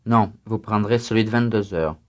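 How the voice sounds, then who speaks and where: Low-voiced man giving drill answers. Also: normal speed. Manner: even, careful full-sentence answers. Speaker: student voice and exercise announcer. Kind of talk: scripted language drill responses.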